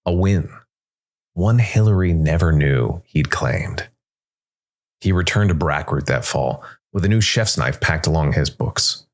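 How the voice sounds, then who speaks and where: A man reads scripted dark fantasy narration in a measured way, with dramatic pauses between short phrases. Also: low-pitched. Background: background music.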